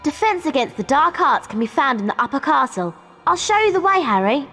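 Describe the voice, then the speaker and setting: An actress playing a bossy, earnest young schoolgirl in a video game, prim and brisk. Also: sits high, big pitch swings, bright, forceful tone, soft background music, heavily acted.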